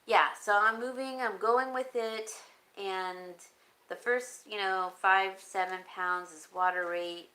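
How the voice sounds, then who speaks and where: A woman hosting a casual live chat, talking loosely and warmly about whatever comes up. Her voice is bright but turning raspy, and coughs break in often. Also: medium pitch, fairly even intonation, slow pace. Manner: rambling, chatty, reads and answers viewer comments. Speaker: home decor vlogger.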